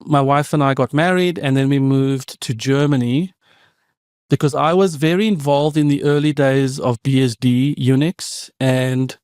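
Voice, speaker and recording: A man's voice: mid-range voice, steady tone, unhurried, a little mellow, smooth, no room sound, clean studio sound. South African man telling stories. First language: Afrikaans. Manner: reflective, meandering storyteller with frequent asides. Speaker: podcast guest, tech founder. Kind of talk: conversational interview storytelling.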